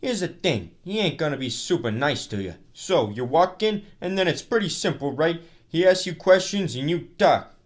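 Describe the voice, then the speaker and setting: Man playing a higher-voiced, blunt show staffer. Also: expressive, moderate pace, hardly any pauses, natural voice. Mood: briskly instructing. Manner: informal, matter-of-fact. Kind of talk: high school radio drama acting.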